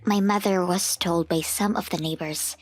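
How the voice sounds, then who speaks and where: A woman's voice: lowish voice, steady tone, moderate pace, dry recording, close to an everyday voice. A woman narrating a scripted first-person story as a grown woman soberly looking back on a family memory. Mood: sober, reflective. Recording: music under the voice.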